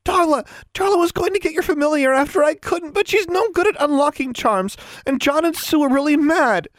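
A man's voice: very high-pitched, wildly swooping pitch, a little slow, a bit bright, no room sound, clean studio sound, voice pushed up, exaggerated voice. A man voicing a frightened, defensive young schoolgirl in an audiobook story, with stammering, squealing, tearful delivery.

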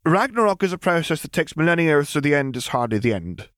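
An odd, matter-of-fact little girl, put on by a male audiobook reader. The voice sits well above his natural pitch. She is blunt and self-assured, explaining things with deadpan certainty. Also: fast talker, dry recording, studio quality. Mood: earnest. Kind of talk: audiobook character voice.

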